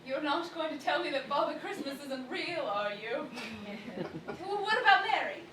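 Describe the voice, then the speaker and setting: A woman's voice: sits high, expressive, normal speed, a bit bright, roomy sound, a little hiss or hum. A woman playing a proud, loving blind daughter in a stage play, emphatic and teasing, recorded from a distance. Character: spirited blind daughter, proud, loving, unfooled by kindly lies.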